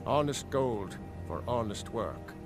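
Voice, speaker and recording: An actor playing a gruff, stoic male warrior in a video game. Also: medium pitch, expressive, slow. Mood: stoic approval. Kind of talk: video game character dialogue. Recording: distant game audio under loud music. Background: loud game music.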